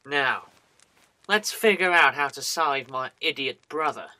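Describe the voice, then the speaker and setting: A man's voice: medium pitch, measured pace, pushed and bright, dry recording. A man playing a jealous, sardonic young nobleman in an audio drama, formal and dryly sarcastic.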